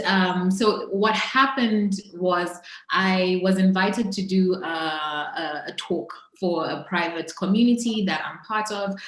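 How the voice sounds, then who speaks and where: A woman with a Zambian accent telling her personal story as an interview guest, in a reflective, halting way with pauses mid-thought. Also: fairly low pitch. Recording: roomy, echoing sound.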